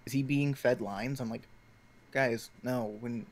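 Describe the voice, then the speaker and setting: A man's voice: mid-range voice, fairly even intonation, a little slow. An American man co-hosting a casual chat stream, talking in a conversational, hedging way. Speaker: stream co-host.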